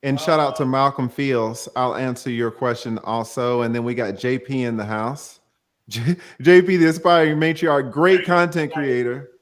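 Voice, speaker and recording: A man's voice: mid-range voice, moderate pace. A man hosting a casual live stream, upbeat, reading viewer comments and questions aloud. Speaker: host.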